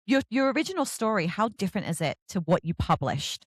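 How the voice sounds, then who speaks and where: Lively, effusive woman interviewer. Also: medium pitch, brisk, dry recording. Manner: effusive, emphatic, repeats herself for emphasis. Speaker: podcast host interviewing an author. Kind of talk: casual enthusiastic book chat.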